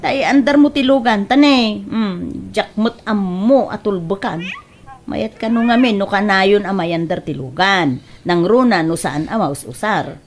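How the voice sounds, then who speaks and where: A woman playing a scheming, excitable rural wife in an Ilocano comedy radio drama, with an animated, exclamatory delivery and Ilocano accent. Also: medium pitch, unhurried, close to an everyday voice. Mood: coaxing. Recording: clearly noisy background.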